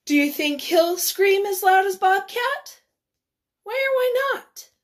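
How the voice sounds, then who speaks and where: A woman reading a picture book aloud to children, expressively and deliberately, with dramatic emphasis. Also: extremely high pitch, expressive. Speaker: children's read-aloud storyteller.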